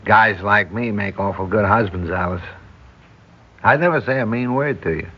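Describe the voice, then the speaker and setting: A man's voice: lowish voice, moderate pace, natural voice. An actor playing a good-natured, lovelorn bus station man in a 1960s radio drama, with casual, slangy American speech. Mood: pleading, lovelorn. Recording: dull, noisy vintage radio recording.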